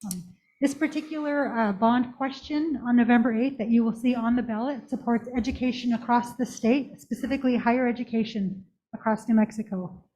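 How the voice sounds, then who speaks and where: A soft-voiced woman giving a polite, formal presentation at a council meeting, speaking through a face mask into a handheld microphone. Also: fairly high pitch, a little fast, low-quality recording. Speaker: community college representative. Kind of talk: prepared presentation to a village council.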